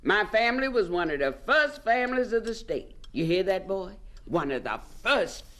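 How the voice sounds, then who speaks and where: An actress playing a bossy, proud older aunt from the American South, folksy and scolding, in a radio drama. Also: female voice, medium pitch, big pitch swings, normal speed, fairly bright tone. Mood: proud, fond.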